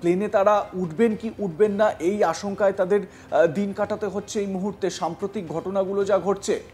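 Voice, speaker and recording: A man presenting news narration and commentary, speaking emphatically in deliberate chunks. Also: high-pitched, darkish tone.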